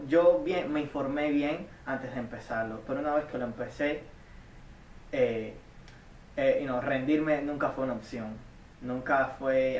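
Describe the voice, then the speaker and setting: An earnest young man from Cuba speaks, answering interview questions in a halting way, often restarting and repeating words. His voice stays flat and even in pitch. The mic sits well away from him in an echoey room with a faint hum of background noise. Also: distant mic. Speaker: community college student.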